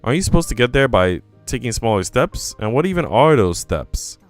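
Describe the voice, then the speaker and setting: A man's voice: mid-range voice, sing-song, a little fast, soft background music. A man reading visual novel narration aloud in a live, casual way, now and then restarting a line.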